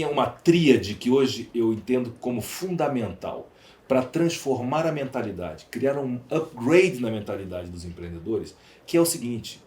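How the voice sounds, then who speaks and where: A man's voice: medium pitch, a little mellow. A man with a Brazilian accent speaking as a podcast guest, emphatic and repeating phrases for stress in conversational answers. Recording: roomy echo, clear background noise.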